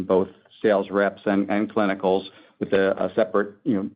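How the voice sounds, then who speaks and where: A man speaking as a company executive, answering analysts on an earnings call in a measured, careful corporate manner. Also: lowish voice. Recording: dull, lo-fi conference call audio.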